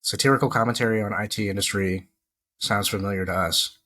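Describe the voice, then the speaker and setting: Chatty, rather low-voiced male host. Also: steady tone, fairly quick, bright, forceful tone. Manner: chatty, laughs, stumbles and repeats words. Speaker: podcast co-host. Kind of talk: casual podcast chat.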